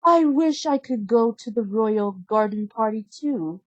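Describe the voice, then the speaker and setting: Kind, wistful young heroine for a children's storybook, played by a woman. Tender, earnest, unhurried. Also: a little high, animated, dull audio. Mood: sad, wistful. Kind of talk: children's storybook read-aloud.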